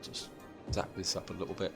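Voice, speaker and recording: A British man with a croaky voice from a chest cold, chatting casually as he thinks aloud through a city-building game. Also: brisk, over loud music. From Britain. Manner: thinks aloud, chatty, addresses viewers as guys. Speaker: gameplay commentator.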